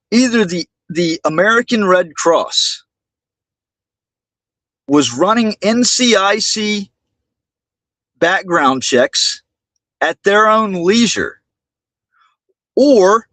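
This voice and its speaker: Indignant guy doing a livestream rant, high voice, slow and halting, keeps repeating words. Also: fairly bright tone, no room sound. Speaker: livestream host. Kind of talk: livestream monologue to viewers.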